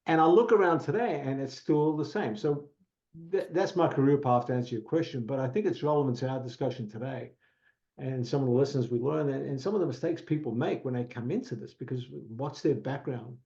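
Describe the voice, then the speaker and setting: A man's voice: mid-range voice, brisk, mellow. Australian man, dull lo-fi sound. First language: Italian. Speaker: guest. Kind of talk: casual podcast conversation.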